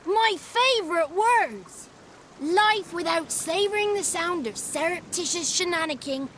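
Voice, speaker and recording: A woman's voice: very high voice, lively intonation, unhurried, fairly bright tone, a little hiss or hum, exaggerated voice. A woman playing a whimsical, childlike little forest creature in a video game, wordy and theatrical, relishing every word. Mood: gleeful. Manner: verbose, theatrical, playful relish of words. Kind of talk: video game character dialogue.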